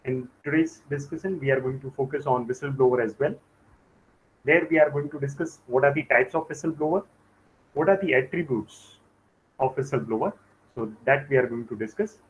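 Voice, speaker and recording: Halting male online lecturer from India. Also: medium pitch. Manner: slow, halting delivery with frequent "uh" fillers. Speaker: online class instructor. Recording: dull lo-fi call audio with faint noise.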